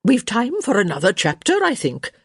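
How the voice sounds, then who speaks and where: A woman voicing an elderly countess, sharp, theatrical and blunt, in a story reading. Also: medium pitch, huge pitch swings, normal speed, gravelly, slightly rough, no echo, clean studio sound, pitched above the performer's own voice, exaggerated voice. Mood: prying.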